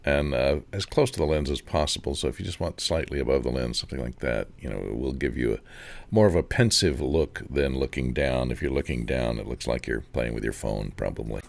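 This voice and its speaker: A man's voice: extremely low pitch, lively intonation, brisk, gravelly, no room sound. A man on a panel giving casual, practical advice.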